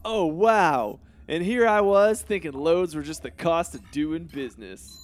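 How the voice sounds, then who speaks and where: A man's voice: on the high side, expressive, measured pace. A man voicing a crude, petulant teenage boy superhero in an adult cartoon parody. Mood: boastful.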